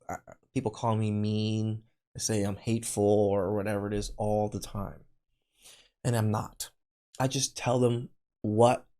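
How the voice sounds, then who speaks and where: A man from Cambodia talks as a podcast host reacting to a video. His voice sits fairly low, and he is casual, candid and earnest, circling back over his phrases. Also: a little mellow. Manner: earnest, candid, repeats himself.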